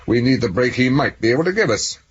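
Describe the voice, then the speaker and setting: A very husky police superintendent, brusque, authoritative and sardonic. His pitch moves about in a lively way as he hands out orders, in a dull-sounding 1970s radio drama recording. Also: fast talker. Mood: brisk.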